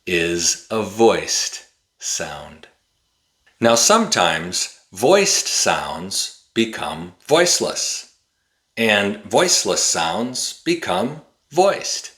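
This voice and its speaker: Man, low-pitched, lively intonation, careful enunciation, instructional lesson delivery. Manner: slow, deliberate, carefully enunciated teaching. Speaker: English pronunciation teacher. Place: small indoor room with bookshelf.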